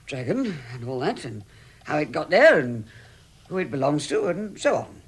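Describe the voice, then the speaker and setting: An actor playing a fussy, timid, excitable hobbit gentleman who stammers primly, in vintage radio drama style. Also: on the high side, moderate pace, slightly rough. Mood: flustered, businesslike. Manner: stammering, flustered, prim. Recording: vintage 1960s radio recording.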